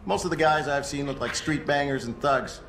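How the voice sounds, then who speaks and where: A jaded tactical police officer in a video game scene, sardonic and needling. His voice sits a little high, in a roomy echo with faint ambient noise. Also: brisk, fairly bright tone. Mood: wary briefing. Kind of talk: video game cutscene acting.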